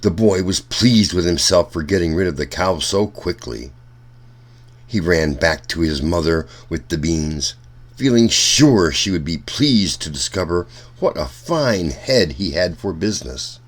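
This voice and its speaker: A man narrates a children's storybook in a low voice with lively, swinging intonation. He reads slowly and expressively, as a storytime host speaking to young listeners. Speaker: storytime reader.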